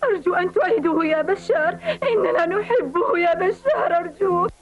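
Tender, very high-pitched insect mother. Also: animated, fairly quick. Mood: tearful pleading. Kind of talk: dubbed cartoon acting. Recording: dull old TV soundtrack with music.

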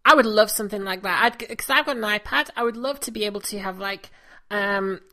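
A woman's voice: mid-range voice, a little fast, sharp tone. A woman co-hosting a podcast in casual, chatty conversation. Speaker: podcast co-host. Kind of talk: casual chat.

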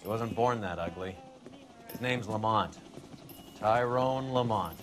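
An actor playing a cynical, brash 1940s American vice detective in a video game, talking in worldly slang. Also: male voice, lowish voice, unhurried, natural voice. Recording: music behind the voice.

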